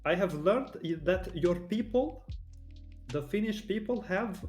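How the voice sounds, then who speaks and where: A man voicing a joke figure who speaks with a deliberately bad, put-on Russian accent, slow and mock-formal. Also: high voice, expressive, a little mellow, faint music behind, pitched above the performer's own voice. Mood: mock-serious. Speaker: co-commentator. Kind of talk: joking banter.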